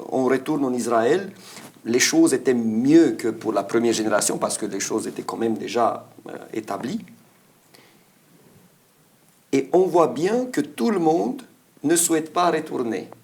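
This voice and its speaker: A man's voice: medium pitch, animated, a little slow, vocal fry, a little mellow. A man leading a Bible study discussion, asking questions in a hesitant way. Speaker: discussion leader.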